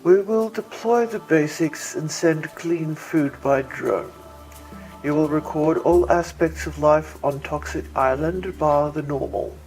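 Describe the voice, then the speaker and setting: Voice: medium pitch, a little mellow, rough recording. A narrator voicing a pompous male bird-like alien mayor full of bureaucratic contempt, in an audiobook story. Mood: grudging contempt. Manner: pompous, clipped contempt, drawn-out emphasis. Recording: music bed behind.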